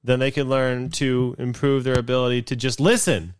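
A young man co-hosting a casual comedy podcast in a dry, close-miked sound. He rambles through his jokes with a deadpan edge, trips over his own words and restarts, keeping an easygoing middle pitch. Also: normal speed, clean tone, no room sound. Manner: rambling, self-interrupting, dry joking. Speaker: podcast co-host. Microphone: desk mic with cameras.

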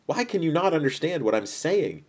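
A man voicing Jesus as a bold, authoritative teacher in his thirties, speaking with measured, solemn emphasis in a dramatized Bible story. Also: a little high, big pitch swings, breathy edge, voice pushed up, exaggerated voice. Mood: bold, impassioned.

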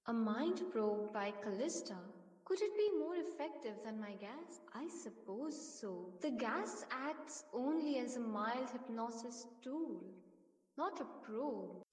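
A woman plays a measured scientist in an audio drama. Her voice is high, and she speaks like someone giving counsel. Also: expressive, a little mellow, slightly rough, exaggerated voice. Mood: musing, skeptical. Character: advising, measured woman scientist with hypnotic gas.

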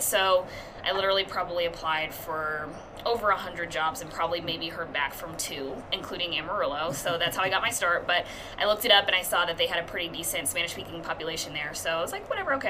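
A woman's voice: on the low side, expressive, fast, noisy background. A young woman in her twenties, a TV news reporter, chatting upbeat and casually in an interview with many fillers.

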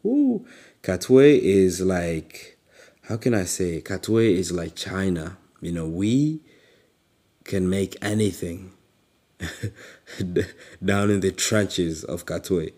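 A man from Uganda giving a casual motivational talk in a relaxed, halting way, with fillers and frequent laughs. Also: sits low. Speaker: motivational speaker.

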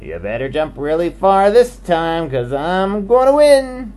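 A man voicing a cocky young male grasshopper in a high squeaky voice. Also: sing-song, a little slow, darkish tone. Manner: squeaky, boastful.

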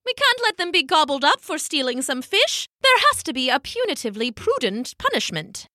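A woman's voice: sits high, big pitch swings, a little fast, bright, forceful tone, no room sound, studio quality, pitched above the performer's own voice, full character voice. A woman voicing a prim, fussy elderly female penguin who speaks in alliterative exclamations. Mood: alarmed.

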